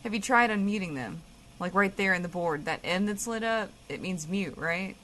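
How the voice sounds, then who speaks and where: A woman's voice: medium pitch, moderate pace, a bit bright, natural voice. A woman playing a dry, sarcastic, exasperated radio co-host in a comedic audio drama.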